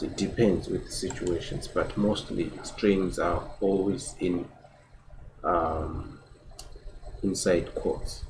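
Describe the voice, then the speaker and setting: A man in his twenties teaching programming casually, often repeating words and asking "right?". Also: low voice. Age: 20s. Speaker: programming tutor. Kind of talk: casual screen-recorded coding tutorial. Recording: keyboard typing and room noise behind.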